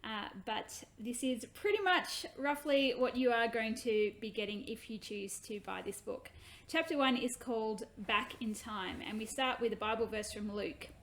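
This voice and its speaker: A woman reading her own children's book aloud in an expressive, animated way. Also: a little high, normal speed.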